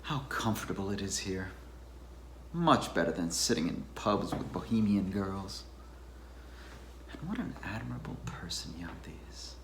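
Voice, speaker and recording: A man's voice: on the low side, a bit bright. A man playing a complacent, fussy bachelor academic in a dramatized novel reading, formal, musing and self-satisfied. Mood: smug musing. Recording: roomy echo, faint background noise.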